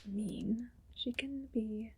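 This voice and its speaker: A woman playing a haughty, condescending evil queen in a whispered ASMR roleplay, breathy and deliberate. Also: fairly high pitch, fairly even intonation, a little mellow, exaggerated voice. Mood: smug, condescending. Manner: slow, deliberate, imperious and condescending.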